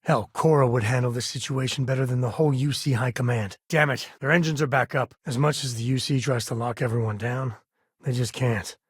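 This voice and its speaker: A man playing a rugged frontier space explorer in a video game, a wry, plain-spoken former ranger with a folksy way of talking and a husky voice. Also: medium pitch, steady tone, fairly quick, no echo, clean studio sound, close to an everyday voice. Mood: wry, animated. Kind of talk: game character dialogue.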